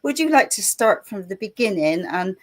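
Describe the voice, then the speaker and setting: A woman's voice: medium pitch, very animated, moderate pace. Woman, talk show host, chatty, rambling, laughs a lot. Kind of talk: casual chat.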